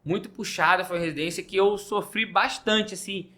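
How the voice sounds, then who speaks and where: A young doctor from Rio de Janeiro with a mild Carioca accent, telling stories and joking in a casual podcast chat. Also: male voice, high voice, brisk. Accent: mild Carioca Brazilian Portuguese. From Rio de Janeiro, Brazil. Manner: joking, animated storyteller. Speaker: pediatric intensive care doctor, guest.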